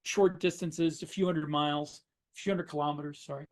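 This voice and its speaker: A man's voice: on the high side, fairly even intonation, fairly quick. A man chats casually as a podcast co-host. He explains things in a wry, conversational way, as one writer talking shop with another. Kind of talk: casual shop-talk chat.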